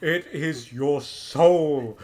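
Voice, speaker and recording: Man playing a tormented lover, higher-pitched. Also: drawn-out words, darkish tone. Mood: impassioned, pleading. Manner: impassioned, theatrical. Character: passionate, tormented, pleading gentleman lover. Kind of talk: audio drama acting.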